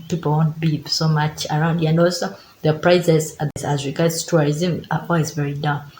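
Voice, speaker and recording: A woman's voice: sits low, a little mellow, a little hiss or hum. A young Ugandan woman with a Ugandan accent, giving chatty travel advice to camera for her vlog, repeating herself and reading from notes. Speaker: travel vlogger. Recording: echoey room with faint ambience.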